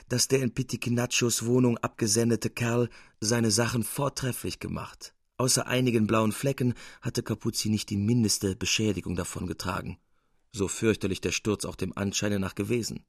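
A man reading literary fiction as an audiobook narrator, in an expressive storytelling manner. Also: medium pitch, moderate pace, no echo, studio quality.

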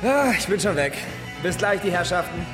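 Cocky young village man, mid-pitched and lively, radio play with loud music. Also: moderate pace. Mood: wry, put-upon. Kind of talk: radio drama dialogue.

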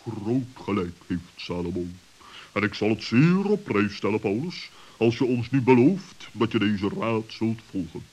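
A man playing a cautious, wise owl with a deliberate, pompous way of speaking in a children's radio play. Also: lowish voice, expressive, darkish tone. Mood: solemn, cautious.

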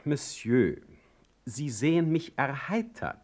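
An actor playing a witty, ailing exiled poet in a German radio play, with a mocking, eloquent and sharp-tongued manner. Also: male voice, medium pitch, big pitch swings, takes it slowly. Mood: mocking, agitated. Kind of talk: German radio play acting and letter narration.

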